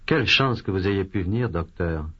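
A man voicing a patient who has a cold in a language course dialogue, speaking slowly and carefully, with repeated phrases. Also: low-pitched, lively intonation, slightly rough. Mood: plaintive. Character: adult male patient with a cold. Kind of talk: scripted language drill dialogue. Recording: dull lo-fi course tape.

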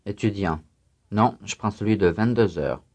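A man reading scripted student answers and exercise announcements in a language drill, careful and even. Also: fairly low pitch, moderate pace.

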